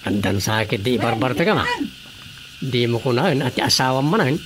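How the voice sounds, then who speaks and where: A man's voice: mid-range voice, lively intonation, normal speed, fairly bright tone, natural voice. A man playing an easygoing brother-in-law and drinking buddy giving advice in an Ilocano-accented radio drama comedy. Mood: advising. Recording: clearly noisy radio drama recording.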